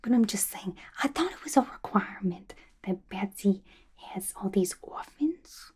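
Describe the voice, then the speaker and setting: A woman's voice: medium pitch, animated, normal speed, darkish tone, slightly rough. A woman playing a playful, unhinged, gossipy female villain inmate in a chatty, conspiratorial whispered ASMR roleplay.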